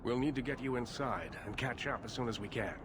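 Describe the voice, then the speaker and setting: A man's voice: mid-range voice, fairly quick, background noise, close to an everyday voice. An actor playing a proud, weary male mage leader who speaks with dry, cutting sarcasm. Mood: urgent.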